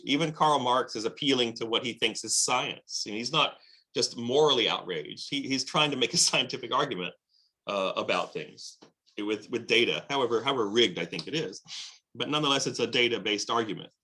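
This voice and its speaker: A man lecturing on world history, with lively emphasis and frequent fillers and restarts. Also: medium pitch. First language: English. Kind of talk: recorded lecture.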